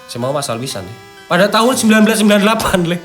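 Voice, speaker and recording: A man's voice: sits high, big pitch swings, very fast, a bit bright. A young man with an East Javanese accent hosts casually, mixing read-out script with informal, slangy asides. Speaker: host. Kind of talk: casual chat mixed with reading from a script. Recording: music playing behind the voice. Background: background music.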